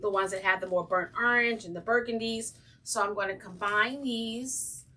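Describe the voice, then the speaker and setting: Slightly raspy woman chatting while crafting. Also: medium pitch, normal speed, bright, forceful tone, recorded from a distance, a little hiss or hum, poor audio. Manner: chatty, greets viewers by name, frequent ums. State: slightly raspy from breathing trouble. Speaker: craft channel host. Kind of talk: casual livestream crafting chat.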